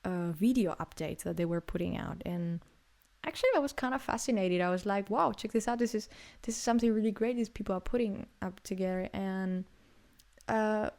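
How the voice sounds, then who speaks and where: A young woman singer from Greece talks about her music in an interview. Her voice sits at a middle pitch for a woman. She speaks with frequent fillers, repeated words and restarts as she thinks aloud. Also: brisk, gravelly, darkish tone. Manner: hesitant, many fillers and restarts. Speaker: singer and songwriter. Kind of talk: interview answers.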